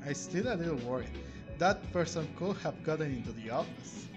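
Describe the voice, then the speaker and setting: A man doing casual let's-play commentary, thinking aloud in a halting way. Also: fairly high pitch, animated. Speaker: gaming streamer. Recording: game music behind the voice.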